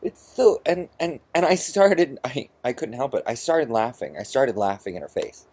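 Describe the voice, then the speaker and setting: A man with a husky voice ranting through a personal story in an exasperated, sarcastic, profane way. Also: mid-range voice, lively intonation, moderate pace, darkish tone. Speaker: vlogger.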